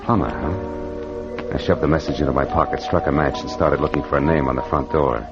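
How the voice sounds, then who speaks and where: Casting: a wry American detective narrator, deep and punchy, muffled old-time radio drama sound. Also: male voice, brisk. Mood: tense, dry. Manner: sardonic, punchy. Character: wry hard-boiled private detective narrator. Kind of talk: 1940s radio noir narration. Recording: muffled vintage radio, music behind.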